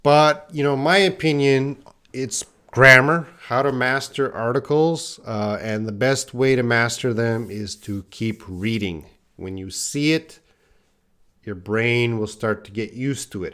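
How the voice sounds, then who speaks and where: A middle-aged man speaks as an English teacher on a live stream. His pace is slow and his pitch sits in an ordinary male range. He talks plainly to learners, calling them by name and repeating words for emphasis. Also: a bit bright. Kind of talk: live-stream teaching, answering student comments.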